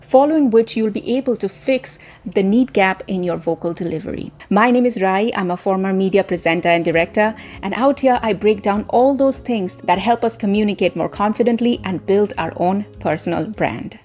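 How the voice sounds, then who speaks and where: Woman coaching with emphatic, deliberate delivery. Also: mid-range voice, a little mellow. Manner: encouraging, direct address to viewers. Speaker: presenter, voice coach. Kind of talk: instructional talk to camera.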